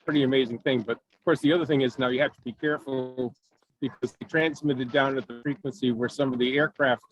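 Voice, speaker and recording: A man's voice: mid-range voice, steady tone, quick pace, soft background music. A man chatting casually as a club member on a video call. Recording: dull video call audio.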